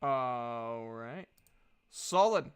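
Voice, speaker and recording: A man's voice: mid-range voice, lively intonation, extremely slow, clear, resonant tone. Casual, easygoing male game master. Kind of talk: casual tabletop game talk.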